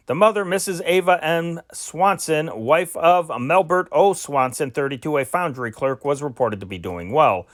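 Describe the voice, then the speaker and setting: A man's voice: on the high side, fairly bright tone, no echo, clean studio sound. A man reading scripted news reports as an amateur, carefully spelling out names and figures with occasional stumbles. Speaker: amateur reader of historic newspaper reports.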